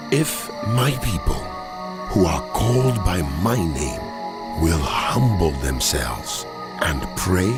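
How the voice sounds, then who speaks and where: An actor playing God as a solemn, ancient divine voice speaking weighty pronouncements in a dramatized audio Bible. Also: male voice, rumbling low, heavily acted. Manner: slow, weighty, deliberate pronouncements.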